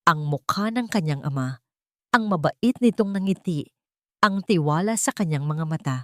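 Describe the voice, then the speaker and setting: A woman's voice: lowish voice, animated, normal speed, no echo, studio quality. A woman with a Filipino accent narrating a dramatic audio story, voicing the characters' lines herself.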